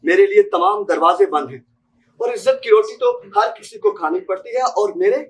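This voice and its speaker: A man's voice: high-pitched, animated, moderate pace. A student actor, a young man with a Pakistani accent, playing an insistent, politely menacing bank clerk in an amateur stage drama. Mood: pleading, insistent. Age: young adult. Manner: formal, insistent, repeatedly addressing the listener politely. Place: seminar hall stage. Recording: distant mic in a hall.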